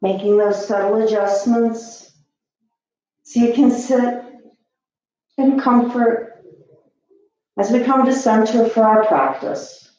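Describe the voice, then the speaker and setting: A woman teaching yoga, guiding breath and posture gently and soothingly with long pauses. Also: a little high. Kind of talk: guided yoga and meditation instruction. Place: echoey indoor room. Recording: echoey room, slightly dull sound.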